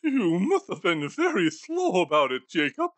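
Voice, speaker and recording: Scared old miser, whiny and high, wobbly voice, dry close sound. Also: male voice, wildly swooping pitch, moderate pace, dry recording. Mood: anxious. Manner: whimpering, high and wavering. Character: frightened pleading old miser, male. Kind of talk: audiobook character voice.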